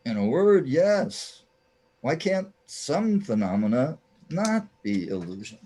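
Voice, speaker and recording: Man playing troubled, lonely hero, pitched up. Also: sing-song, slow, dark tone, exaggerated voice. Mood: troubled, plaintive. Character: troubled, lonely male hero, plaintive and questioning. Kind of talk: amateur radio play acting.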